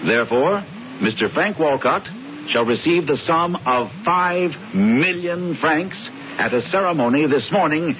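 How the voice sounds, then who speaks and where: A man's voice: fairly high pitch, expressive, unhurried. An actor playing a pompous, theatrical schemer reading the paper aloud to his brother in a 1970s radio drama. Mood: scheming. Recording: dull 1970s radio, faint hiss.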